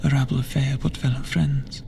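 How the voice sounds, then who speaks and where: A man playing a gentle, guilt-ridden lantern bearer in a fantasy video game, speaking softly in old-fashioned phrasing, half-whispered, hesitant and solemn. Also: medium pitch, flat delivery, brisk, lightly acted. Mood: wistful. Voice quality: breathy, husky, half-whispered. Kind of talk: soft-spoken video game NPC dialogue, theatrical archaic phrasing.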